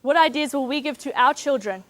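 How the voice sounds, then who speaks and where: Kiwi teen girl, high voice, earnest measured speech. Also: a bit bright. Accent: New Zealand. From New Zealand. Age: teenager. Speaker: speech contestant. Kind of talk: formal competition speech.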